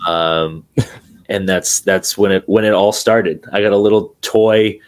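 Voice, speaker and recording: A man's voice: lowish voice, a little slow, clear, resonant tone. A young man from New Hampshire, a drummer being interviewed over a video call, talking casually with many ums and restarts. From New Hampshire, USA. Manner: relaxed, hesitant with many fillers and restarts. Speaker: guest drummer. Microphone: remote video call.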